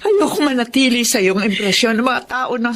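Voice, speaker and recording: A woman's voice: fairly high pitch, expressive, moderate pace, crowd noise, close to an everyday voice. An actress playing a sorrowful, devoted middle-aged mother, earnest and emotional in a radio melodrama. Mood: pleading. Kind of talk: melodramatic radio drama acting.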